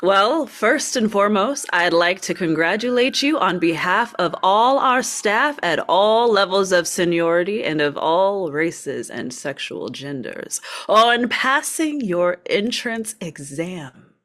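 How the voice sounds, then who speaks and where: A woman playing a stoic, politely chipper corporate orientation facilitator in a script table read, brisk, procedural and artificially courteous. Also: mid-range voice, lively intonation, a bit bright. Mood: pleased, formal.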